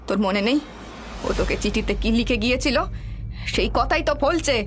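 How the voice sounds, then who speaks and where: A woman's voice: a little high, lively intonation, moderate pace, faint music behind, close to an everyday voice. A woman voicing a stern, bossy older village mother-in-law in a cartoon horror story, full of foreboding.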